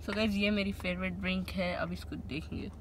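A man's voice: high voice, level tone, brisk, loud music behind the voice, rough recording. A teenage boy talking casually to his viewers as a vlogger, filming on a handheld phone while out and about. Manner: chatty, addresses viewers as guys. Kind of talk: casual vlog narration. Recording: phone audio with loud background noise.

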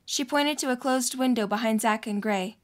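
Woman narrator with a fairly high voice. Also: moderate pace. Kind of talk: scripted story narration.

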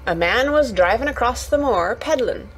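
A woman's voice: on the high side, expressive, normal speed, a little hiss or hum, lightly acted, low-quality recording. A woman voicing a cheerful young Yorkshire housemaid in a storybook, chatty and eager, with homely dialect and exclamations. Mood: eager, proud.

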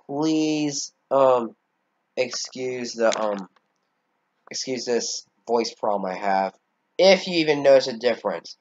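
A man's voice: medium pitch, highs cut off. A fourteen-year-old boy, congested, giving a rambling, hesitant channel update with casual swearing. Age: teens. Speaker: channel owner.

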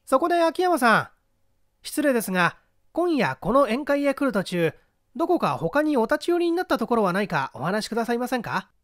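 A woman's voice: fairly high pitch, sing-song, fairly quick, no echo, studio quality. A woman playing a clever, composed teenage boy detective, polite and self-assured, in an audio mystery story. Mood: polite, firm.

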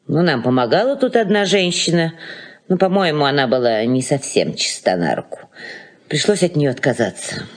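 An older woman acting a fussy, bossy elderly aunt in a 1980s Soviet radio play, effusive and nagging by turns. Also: low-pitched.

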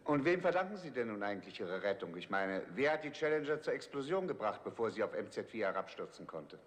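A man's voice: fairly low pitch, very animated, quick pace. An actor in his fifties playing a genial senior space fleet commander in 1960s TV drama style. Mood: inquiring.

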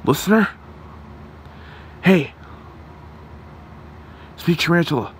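Man playing an earnest, cheeky young superhero, higher-pitched. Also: expressive, slow pace, fairly bright tone. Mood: cheeky, alert. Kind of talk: amateur ASMR roleplay drama. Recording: noisy room hum.

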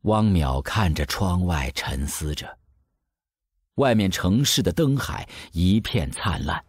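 A man's voice: sits low, lively intonation, slow pace, husky, dry recording. A man narrating a scripted audio drama in a dramatic storytelling style.

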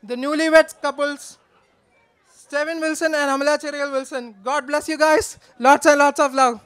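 A man gives a joking, affectionate, slightly halting wedding toast from notes into a handheld microphone. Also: very high voice, little pitch movement, fairly bright tone. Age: 20s. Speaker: best man, groom's brother. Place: wedding reception in a large draped marquee.

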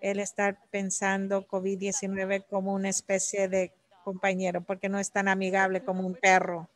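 Woman interpreting in halting phrases. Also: medium pitch, completely flat delivery. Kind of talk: live spoken interpretation of an academic talk.

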